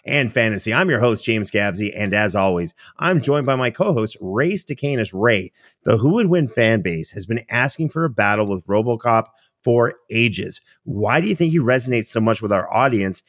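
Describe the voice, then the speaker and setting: A man's voice: lowish voice, animated, normal speed, a bit bright, no room sound, clean studio sound. A man hosting a show in a chatty, teasing, conversational way. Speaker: podcast host.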